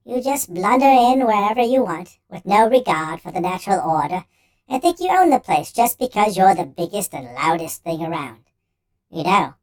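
Storybook homunculus: small, pedantic, affronted, high-pitched and very animated. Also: male voice, clear, resonant tone, low-quality recording. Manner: indignant, lecturing, sarcastic. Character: small indignant male homunculus, pedantic, scolding humans. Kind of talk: storybook character voice.

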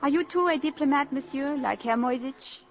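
Casting: aristocratic hostess, highish animated voice, lightly teasing, on muffled vintage radio. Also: female voice, brisk, faint music behind. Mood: gracious, arch. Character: elegant, coolly arch aristocratic countess. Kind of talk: 1950s radio drama acting. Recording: muffled 1950s radio broadcast.

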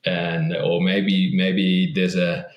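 A man's voice: deep voice, level tone, extremely slow, muffled recording. Earnest man in an echoey room. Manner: thoughtful, earnest, frequent restarts and repetitions. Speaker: guest author. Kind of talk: podcast interview.

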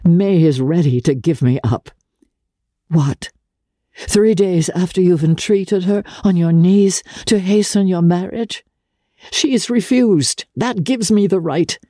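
A woman narrator acts a lovesick gentleman of old New York, bitter and jealous. He is intense and sneering, with a dark, husky tone suited to a dramatic classic novel reading. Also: lowish voice, slow, no echo, clean studio sound, voice pushed up. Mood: indignant. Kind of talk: dramatic audiobook character reading.

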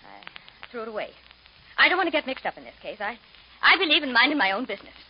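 An actress playing an evasive housewife who speaks defensively, in 1940s radio acting style on an old radio broadcast. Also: female voice, a little high, sing-song, quick pace, husky, lo-fi sound. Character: evasive housewife, a witness who wants no trouble.

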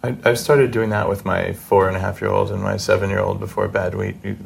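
A man's voice: low voice, flat delivery, fairly quick, creaky, slight background noise. A middle-aged man hosting a podcast interview, talking conversationally and in a reflective, personal way. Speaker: podcast host interviewing a guest.

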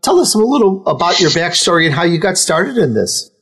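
A male interview host with a slightly high voice who talks in an effusive, upbeat way. He heaps praise on his guests and sounds glad to have them on the show. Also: very animated, fairly quick, fairly bright tone, breathy edge. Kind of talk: upbeat podcast interview hosting.

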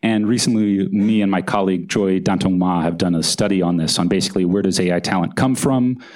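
A man's voice: deep voice, darkish tone. A man, an author and journalist, answering questions thoughtfully at a live on-stage interview. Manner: thoughtful, measured, hedging with "kind of". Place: event hall with live audience.

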